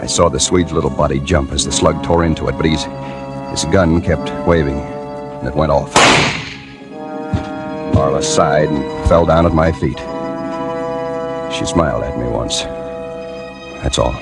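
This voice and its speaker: An actor playing a dogged, hardboiled newspaper reporter in a 1940s radio detective drama, with a husky voice and wry, clipped delivery. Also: male voice, a bass voice, fairly even intonation, measured pace, pauses often. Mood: grim, brooding. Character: dogged hardboiled male newspaper reporter narrating his case. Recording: old radio recording with faint music.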